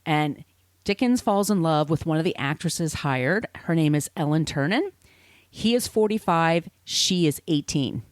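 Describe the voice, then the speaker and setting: Female podcast storyteller, slightly low register, conversational and explanatory with asides, close dry sound. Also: expressive, measured pace, dry recording. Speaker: podcast host. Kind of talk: conversational storytelling of literary history.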